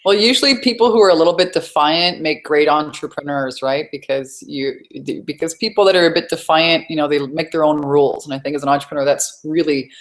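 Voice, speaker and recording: A woman's voice: low-pitched, brisk, hardly any pauses. A woman hosting a live webinar, prompting listeners to post in the chat and encouraging her guest. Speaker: webinar host.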